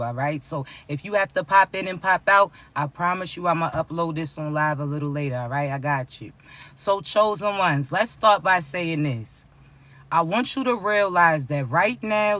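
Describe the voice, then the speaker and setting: A raspy, fairly high-pitched man delivers a motivational spiritual message. His tone is bright and pressing, and he keeps checking in with his listeners, calling them chosen ones. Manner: repeats tag questions like right and you understand me. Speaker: spiritual reader.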